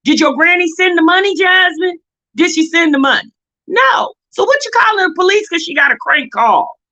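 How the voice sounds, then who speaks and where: A woman's voice: very high-pitched, lively intonation, moderate pace, pushed and bright, dull audio. An American woman giving casual, opinionated commentary as a reaction video host, blunt and sassy, often exasperated and firing off rhetorical questions.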